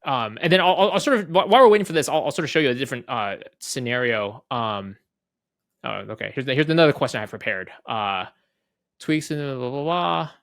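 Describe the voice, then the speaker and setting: A man casually walking through a software demo off the cuff, conversational, often checking in with tag questions and voicing little exchanges. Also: mid-range voice, lively intonation, fairly quick, creaky, no echo, studio quality. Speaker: guest product leader giving a software demo. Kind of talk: casual off-the-cuff product walkthrough.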